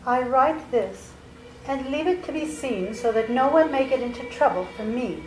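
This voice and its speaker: A woman playing a frightened, fragile young woman in a live radio play, speaking slowly and fearfully. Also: high-pitched, lively intonation, noisy background. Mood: dread. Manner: slow, hushed and fearful. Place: library hall with live audience. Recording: echoey hall with faint music.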